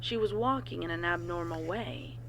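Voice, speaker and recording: A woman reading a scripted report in a formal, measured way as a narrator. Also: fairly low pitch, a loud crowd. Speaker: narrator of a horror fan fiction reading.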